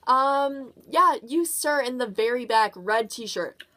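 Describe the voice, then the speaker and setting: A woman's voice: a little high, lively intonation, takes it slowly, pushed and bright, full character voice. A woman playing a polite male official answering questions in a puppet show. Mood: friendly, apologetic.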